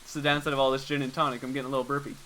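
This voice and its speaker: A man's voice: medium pitch, flat delivery, racing, pushed and bright, a little hiss or hum. A man giving casual live commentary as he streams a game.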